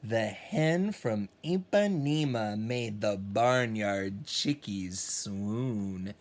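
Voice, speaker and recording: A man's voice: fairly low pitch, lively intonation, very slow. A man who teaches music, reading a children's storybook aloud expressively with casual, encouraging asides.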